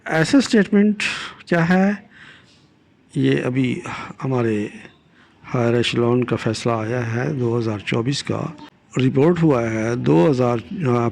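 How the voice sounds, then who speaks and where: An older man with a Pakistani accent gives a deliberate, didactic law lecture, repeating key phrases and switching into English legal terms. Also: medium pitch, animated, vocal fry, a little mellow. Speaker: retired judge giving legal lessons.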